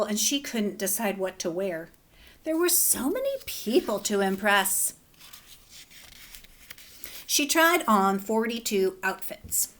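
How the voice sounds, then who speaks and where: Teacher lady doing a storybook read aloud, chill, easygoing, some um's. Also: medium pitch. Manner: relaxed, gently instructive with fillers.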